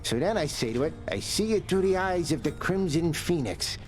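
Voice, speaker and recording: A man's voice: fairly high pitch, lively intonation, normal speed, faint music behind, close to an everyday voice. A man voicing a breezy, slangy male skeleton who teases the hero in a video game. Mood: cheeky. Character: chummy male skeleton, boastful, cheeky.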